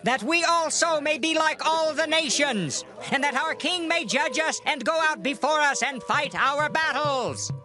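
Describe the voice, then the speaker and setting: A man's voice: very high-pitched, a little slow, few pauses, soft background music, exaggerated voice. An actor voicing a defiant, insistent citizen demanding a king in a scripture drama. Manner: insistent, forceful.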